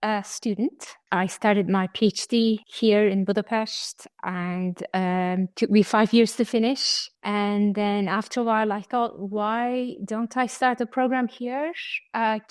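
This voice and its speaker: Measured woman, dry sound. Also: on the high side, dry recording. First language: Turkish. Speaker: study abroad program director. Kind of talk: interview answers.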